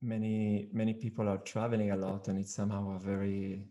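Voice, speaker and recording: A man hosting a conversational interview, speaking haltingly and repeating words as he searches for phrasing. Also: lowish voice, completely flat delivery, warm tone, highs cut off. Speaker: podcast host.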